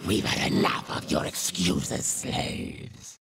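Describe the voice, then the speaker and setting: A man voicing a brutal, menacing slave-driver with a hoarse, gravelly voice in a video game. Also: takes it slowly, background noise, natural voice. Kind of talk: dramatic video game voice acting.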